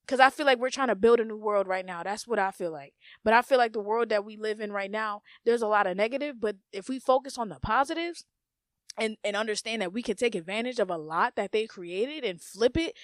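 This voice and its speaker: A woman's voice: medium pitch, a bit bright, no echo, clean studio sound. A young American woman from a Haitian family hosts a solo podcast, talking bluntly and profanely and repeating her points for emphasis. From United States, Haitian family. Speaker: podcast host. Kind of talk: casual solo podcast monologue.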